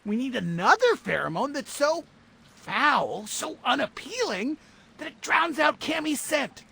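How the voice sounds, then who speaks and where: A man voicing an excitable, fussy scientist in a cartoon game, expressive and wordy, with a husky, creaky voice. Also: extremely high pitch, measured pace, full character voice. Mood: scheming, eager. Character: excitable, fussy scientist, eager and easily appalled. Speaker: voice actor in a game cutscene. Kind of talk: animated cartoon game acting.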